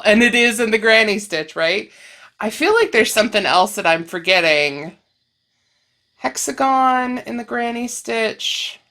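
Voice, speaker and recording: A woman's voice: medium pitch, a bit bright. A woman hosting a casual crochet chat video, her voice a little scratchy and tired at the end of a workday. She chats and laughs easily and sometimes loses her train of thought.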